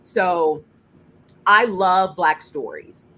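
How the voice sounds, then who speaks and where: A woman filmmaker with a deep voice and lots of bass, speaking emphatically in a panel discussion over a video call. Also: drawn-out words, slight background noise, poor audio. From United States. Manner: emphatic, animated, laughs while speaking. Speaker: filmmaker and juror.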